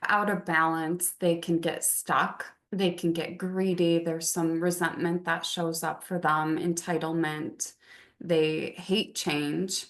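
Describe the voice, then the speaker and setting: Slow, gravelly-voiced woman with a monotone delivery. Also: lowish voice. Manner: calm, monotone delivery, as she says herself. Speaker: podcast guest. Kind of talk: casual podcast conversation.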